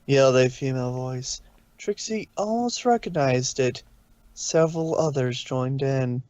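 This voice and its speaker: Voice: medium pitch, measured pace, darkish tone, no echo, highs cut off. A narrator reading a story aloud in a storybook style, sometimes stumbling over a word and correcting it. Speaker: narrator, fan fiction reader.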